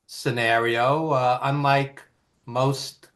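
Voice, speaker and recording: An older man with a thick Brooklyn accent, speaking at an unhurried, deliberate pace in a middle-range voice. He reminisces in a rambling, nostalgic way, full of "uh"s and restarts. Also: medium pitch, fairly even intonation, fairly bright tone. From East Flatbush, Brooklyn, New York. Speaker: guest, author and filmmaker. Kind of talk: casual storytelling in an interview.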